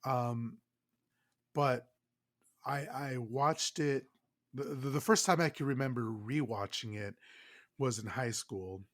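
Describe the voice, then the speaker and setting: A man's voice: mid-range voice, slow pace, pauses often, fairly bright tone. A man with a stuffed-up, nasal voice co-hosting a podcast in casual chat, enthusiastic and swearing freely. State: stuffed-up nose. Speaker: podcast co-host.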